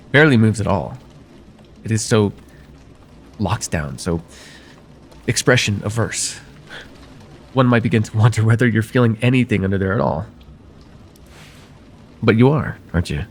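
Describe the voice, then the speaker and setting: Low, creaky, coaxing vampire therapist played by a man. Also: a little hiss or hum. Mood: clinical, probing, then probing, intimate. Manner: soft-spoken, deliberate, hypnotic pauses, formal wording. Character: male vampire therapist, soothing, coaxing, quietly possessive. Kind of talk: ASMR roleplay, slow intimate monologue.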